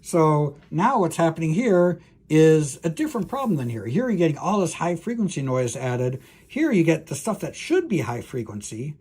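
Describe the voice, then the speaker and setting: A male professor with a husky, slightly high-set voice gives an informal technical lecture. He thinks aloud, halting and correcting himself as he works through an explanation. He speaks straight to the student, as if checking that they follow. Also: big pitch swings, a little mellow.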